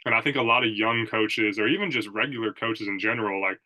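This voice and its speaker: A young man speaks in a somewhat low register, nasal and stuffed up from allergies. His tone is bright and forceful. He rambles casually over a Zoom recording, leaning on filler words. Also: fast talker. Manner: rambling, filler-heavy, says "like" and "dude" often. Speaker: podcast host and strength coach. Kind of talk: casual solo podcast talk.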